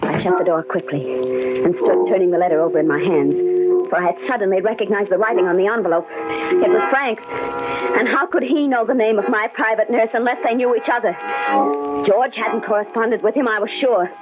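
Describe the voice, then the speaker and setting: An actress playing a frightened but steely wronged woman in an old-time radio thriller, speaking dramatically and deliberately. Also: medium pitch, narrow, tinny audio, close to an everyday voice. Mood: tense, fearful. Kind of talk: old-time radio thriller acting and first-person narration. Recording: band-limited old radio drama with music.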